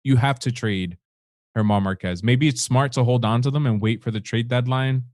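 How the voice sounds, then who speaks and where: A man's voice: medium pitch, moderate pace, no echo, clean studio sound. A man hosting a baseball podcast, talking casually and loosely, with fillers. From United States. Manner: relaxed, conversational, with fillers. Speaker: podcast co-host.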